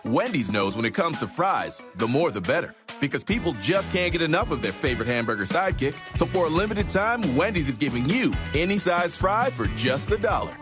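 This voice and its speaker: A man's voice: a little high, big pitch swings, brisk, few pauses. A man reading a scripted radio commercial as a voice-over announcer. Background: music bed.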